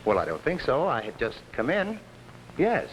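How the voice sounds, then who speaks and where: A man's voice: medium pitch, wildly swooping pitch, moderate pace. A man acting as an earnest, emotional conductor in 1950s television melodrama. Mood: shaken, grieving. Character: earnest, devoted male conductor, grieving widower. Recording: noisy old 1950s television soundtrack.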